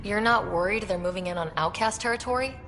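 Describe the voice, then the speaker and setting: A woman's voice: medium pitch, fast talker, fairly bright tone, big-room sound, soft background music, natural voice. A woman playing a composed young explorer hero in a video game, direct and dry in delivery. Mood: probing, dry. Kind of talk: video game cutscene acting.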